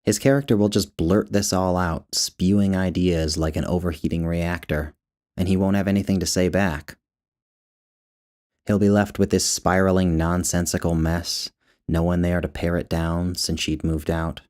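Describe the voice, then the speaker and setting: A man with a deep voice narrates literary fiction. He reads as the author of the piece, in a measured and deliberate storytelling style. Certain phrases are drawn out for weight. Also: fairly even intonation, darkish tone. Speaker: author narrating his own short story.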